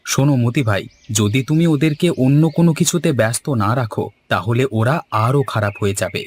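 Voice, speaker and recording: A man's voice: mid-range voice, a little fast, a little mellow, a little hiss or hum, close to an everyday voice. A man playing a sociable, persuasive village man in a cartoon, explaining and coaxing good-humouredly. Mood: concerned, advising. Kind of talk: cartoon dialogue acting.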